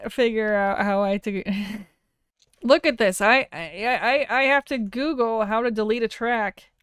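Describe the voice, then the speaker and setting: Female tutorial instructor, informal and wry, crisp resonant tone, dry close recording. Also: a little high, unhurried, a bit bright, no echo. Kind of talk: casual software tutorial narration.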